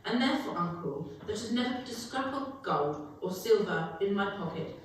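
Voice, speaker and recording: A woman playing a kindly, cheerful young nephew in a staged dramatic reading, declaiming with earnest, pleading warmth. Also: medium pitch, animated, normal speed, natural voice. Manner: bright-spirited, pleading, declamatory. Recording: very echoey hall, distant mic, faint noise.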